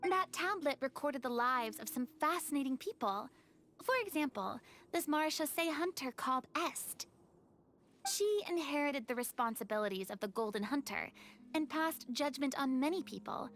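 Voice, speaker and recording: An actress voicing an excitable, theatrical young woman with sweeping dramatic inflections, in a video game. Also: high voice, normal speed, faint music behind. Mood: storytelling.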